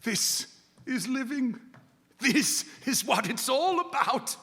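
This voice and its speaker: A man's voice: very high-pitched, lively intonation, slow pace, fairly bright tone, exaggerated voice. A man acting in live radio theater as an elderly miser turned giddy and generous, with a theatrical, effusive manner. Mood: joyful, generous. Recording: reverberant hall, live stage recording.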